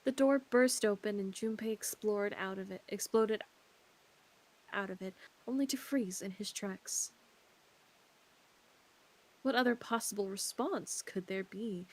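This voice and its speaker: A woman's voice: mid-range voice, unhurried, pauses often, a little mellow, poor audio. A woman co-hosting a visual novel stream, reading narration aloud with casual, sweary asides. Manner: informal, sweary.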